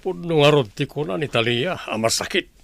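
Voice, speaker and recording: A man's voice: a little high, lively intonation, brisk, a bit bright, no room sound. A man playing a skeptical middle-aged father in a radio comedy drama, blunt and disapproving. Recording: clear background noise with clicks.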